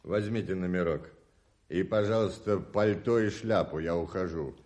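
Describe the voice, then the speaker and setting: Low-voiced, imperious gentleman thief. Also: moderate pace. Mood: haughty, impatient. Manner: curt, commanding, menacing. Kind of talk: 1980s Soviet radio play acting.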